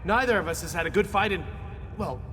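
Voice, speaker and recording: Brave, battle-hungry fantasy sidekick, youthful and insistent, on the high side, in a reverberant space. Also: male voice, lively intonation, a little fast, a bit bright, a little hiss or hum. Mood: eager, protesting. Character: brave, eager, battle-hungry young male warrior companion. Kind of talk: fantasy video game acting.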